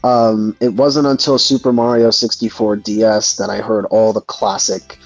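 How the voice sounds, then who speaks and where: A man's voice: on the low side, level tone, normal speed, dry recording. Hesitant man on a dull, dry mic. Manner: hesitant, reflective, searching for words. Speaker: host of a gaming discussion. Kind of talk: casual discussion. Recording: dull lo-fi mic, music underneath. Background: background music.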